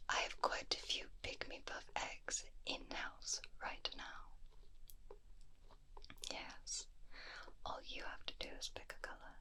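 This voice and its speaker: A woman whispering a gentle, soothing ASMR fantasy roleplay as an attentive shopkeeper, close to a binaural microphone. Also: takes it slowly, pauses often. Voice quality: breathy unvoiced whisper. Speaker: ASMR roleplay host playing a magical creature shopkeeper.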